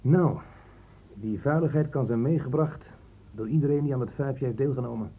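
An actor playing a cynical police inspector in a radio drama, blunt, sardonic and colloquial. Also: male voice, medium pitch, moderate pace, lightly acted. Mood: matter-of-fact. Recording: muffled phone-like old radio recording.

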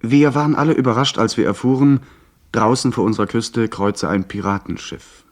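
A man's voice: mid-range voice, brisk, natural voice. An actor playing a courteous, slightly hesitant young man who narrates his seafaring adventure in a radio play. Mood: earnest narration. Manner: polite, hesitant with the girl. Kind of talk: 1970s German radio play acting and narration.

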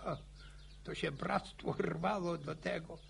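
An actor playing an old village grandfather in a radio play, spinning boastful war yarns with a gravelly, stammering, chuckling voice. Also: a little high, fairly even intonation, slow, a bit bright, slight background noise, full character voice. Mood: boastful reminiscing. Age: older. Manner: chuckling folksy yarn-spinning. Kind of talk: radio drama acting.

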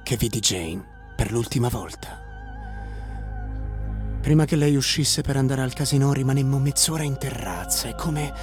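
A man's voice: mid-range voice, animated, moderate pace, long pauses, close to an everyday voice. An actor playing a wistful male narrator recalling a lost lover in a lyrical, dramatic radio monologue. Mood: haunted, fervent. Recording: music behind the voice, radio drama. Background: clear music.

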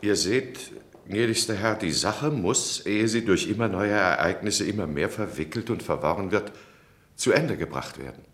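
An actor playing a grave, righteous high chancellor in a German radio drama, stately and admonishing. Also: male voice, lively intonation, normal speed, a bit bright. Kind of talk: German radio drama acting, formal oratory. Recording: roomy studio radio drama recording.

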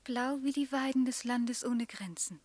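A devoted young woman in love in a poetic radio play. Her voice is breathy and slightly high, recorded very dry, with a wistful, simple, intimate delivery. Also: a little slow, darkish tone, dry recording. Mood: tender, trusting.

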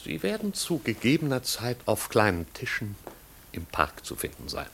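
An actor playing a pedantic, long-serving older butler in a 1950s radio play, speaking in stilted, pompous, formal phrases. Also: male voice, mid-range voice, wildly swooping pitch, moderate pace, vocal fry, fairly bright tone, a little hiss or hum, exaggerated voice. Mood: dry, deferential.

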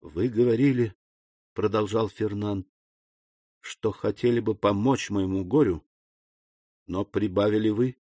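A man's voice: fairly low pitch, fairly even intonation, a little slow, long pauses, mellow, no room sound. A man voicing a desperate, lovesick young man in a storybook, in a subdued, gloomy manner. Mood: grim insistence. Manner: subdued, trembling despair, resolute.

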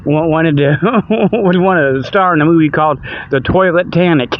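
A man's voice: on the high side, normal speed. Dull lo-fi older man, rambling folksily. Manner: folksy, meandering, chuckles at own jokes. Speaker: host of a hobby internet radio show. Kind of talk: rambling casual monologue, joking. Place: outdoors by a river.

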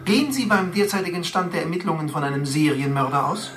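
A man's voice: a little high, fast talker, lightly acted. Guy acting polished tv news host, questioning, radio drama, slightly distant, music in background. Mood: formal, concerned. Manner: formal, questioning. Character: polished, concerned male television news presenter.

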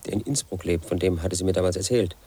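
A brooding, quietly resentful man in his thirties recounts his story for a radio play. His voice sits low and husky. He talks in a flat, insistent way, as if nothing could be his fault. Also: fast. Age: 30s. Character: obsessive, aggrieved man brooding over his ex. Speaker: narrator of his own story. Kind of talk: 1980s radio play monologue.

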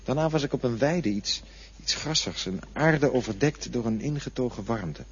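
An actor playing a bewildered man who wakes in a coffin and haltingly describes what he saw. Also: medium pitch, a bit bright, husky. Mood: dazed, wondering. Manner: dreamy, halting, searching for words. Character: bewildered man waking in a coffin after dying. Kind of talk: radio drama acting. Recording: dull lo-fi radio play recording, faint hiss.

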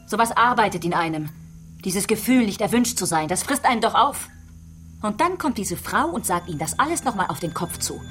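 A woman's voice: medium pitch, expressive, a little fast, a bit bright, soft background music, lightly acted. An actress playing a relentless female police detective in a radio play, probing and taunting as she interrogates a suspect.

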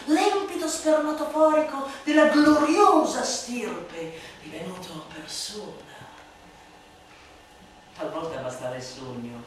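A high-voiced woman declaims a satirical monologue like a stage actress, sardonic and theatrical. She moves between hushed asides and loud proclamations. The sound is distant and swims in strong room echo. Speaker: stage and radio actor performing a satirical monologue. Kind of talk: theatrical dramatic recitation of a literary satire. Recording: very echoey room, distant mic, faint noise.

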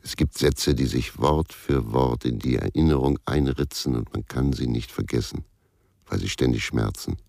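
Very deep, gravelly brooding male narrator. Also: moderate pace, darkish tone, no room sound, clean studio sound. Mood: bitter. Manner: brooding, laconic. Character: bitter, world-weary ex-policeman narrating his own downfall. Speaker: first-person narrator. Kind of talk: crime radio play narration.

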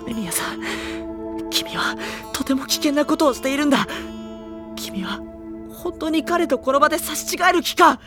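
A sensitive high-school boy with a youthful, high voice. He is earnest and anxious, telling his own story as audio drama, with clear music behind him. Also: animated, measured pace. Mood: desperate pleading. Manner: earnest, introspective. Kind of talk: dramatic first-person narration and dialogue.

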